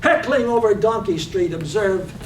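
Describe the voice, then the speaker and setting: A man playing the storyteller of a poetic village drama, reading in a measured, literary manner. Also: high voice, animated. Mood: lyrical, measured. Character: lyrical storyteller of a small village's morning. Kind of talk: poetic scripted narration, read on stage. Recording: echoey room, distant mic, faint noise.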